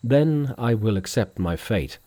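A man's voice: medium pitch, expressive, measured pace, mellow, dry recording. A man voicing a pious, anxious monk master in a storybook, speaking formally and earnestly. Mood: pleading.

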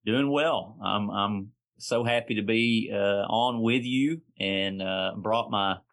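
A man's voice: fairly low pitch, takes it slowly, a bit bright. A man with a Southern American accent, chatting casually as a guest on a podcast. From Southern United States. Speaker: podcast guest, foster and adoptive father. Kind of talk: casual chat.

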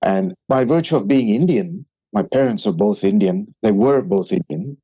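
A man from Thailand and Switzerland speaking reflectively as an interview guest, with articulate, measured and formal phrasing. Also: on the low side, animated, dark tone, slightly rough, low-quality recording. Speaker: podcast guest, sustainability advisor.

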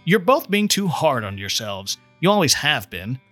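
A man's voice: on the high side, big pitch swings, normal speed, fairly bright tone, faint music behind. A man voicing an earnest, impatient trainee guardian angel who explains things with mild condescension in a storybook reading. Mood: frustrated. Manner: explanatory, mildly condescending toward humans.

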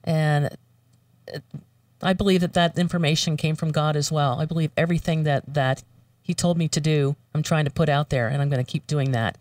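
An earnest woman speaks haltingly, full of fillers and restarts. She is heard a little away from the microphone in a very dry, unreverberant room. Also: low-pitched, steady tone, darkish tone, no echo, far from the mic. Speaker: guest, voiceover artist. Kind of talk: spoken prayer and casual chat.

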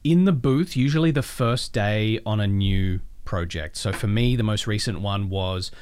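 Male podcast guest, casual and animated. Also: lowish voice, normal speed, smooth. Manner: animated, self-quoting, playfully profane. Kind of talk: casual interview chat with acted-out asides.

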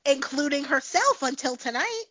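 A woman's voice: high voice, very animated, moderate pace, pushed and bright, no echo. A woman co-hosting a podcast in casual chat, sounding nasal from a cold and eager to argue. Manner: chatty, argumentative. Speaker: podcast co-host. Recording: dry, dull lo-fi sound.

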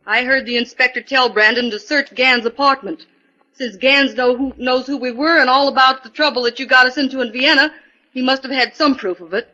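A woman's voice: sits high, fairly even intonation, brisk, bright, forceful tone. An actress playing a dramatic, scheming female spirit medium in a theatrical 1940s radio style. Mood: agitated, afraid. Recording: dull 1940s radio recording.

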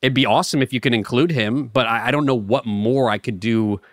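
A man's voice: medium pitch, brisk, no echo, studio quality. A young man co-hosting a podcast, talking earnestly and rambling with stammered restarts. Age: young adult. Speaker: podcast co-host. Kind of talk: casual podcast banter.

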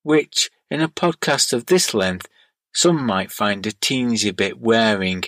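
A man with a northern English Bolton accent, gently reading a scripted monologue as a podcast host. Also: fairly low pitch, animated, a little slow, dry recording. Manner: gentle, wordy.

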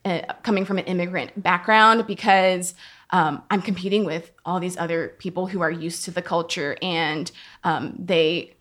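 A woman's voice: lowish voice, steady tone, normal speed. Chatty young woman from Georgia. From Georgia, USA. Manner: chatty, laughs often, trails off softly. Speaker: guest. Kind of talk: casual conversation.

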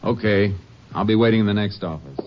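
An actor playing a calm, measured American Texas Ranger investigator in a 1950s radio drama. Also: male voice, lowish voice, noisy background, close to an everyday voice. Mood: businesslike. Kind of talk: 1950s radio drama acting with first-person narration. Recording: dull old radio recording with music.

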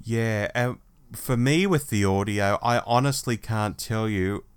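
A man's voice: fairly low pitch, unhurried, clean tone, dry recording. An Australian man in his forties co-hosting a podcast in a casual, reflective, conversational style. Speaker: podcast co-host. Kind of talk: casual chat, discussion.

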